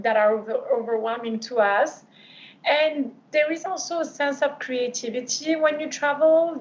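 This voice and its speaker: Bright-voiced woman host on a video call. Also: on the high side. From Morocco. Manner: conversational, questioning, hesitant ums. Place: home room. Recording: dull lo-fi video call audio.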